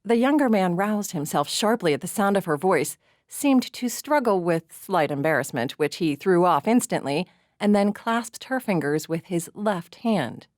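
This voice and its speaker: A woman reads a classic short story aloud in a measured, expressive way. Her voice sits a little low, and the recording is close and completely dry. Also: dry recording. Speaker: narrator. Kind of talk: scripted storybook narration.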